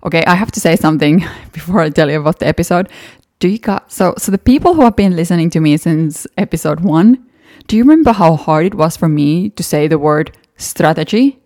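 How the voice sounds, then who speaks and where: A woman's voice: fairly low pitch, expressive, fairly quick, dark tone, dry recording, clean studio sound. A woman with a Finnish accent, a native Finnish speaker, hosting a solo podcast and talking through past episodes in an earnest, encouraging way. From Finland. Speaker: podcast host.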